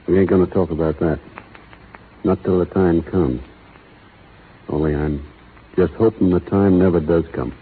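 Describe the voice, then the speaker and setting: An actor playing a steady, earnest cowboy with a slow western drawl in a 1930s radio drama, tinny old broadcast sound. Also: male voice, low-pitched, background noise, natural voice.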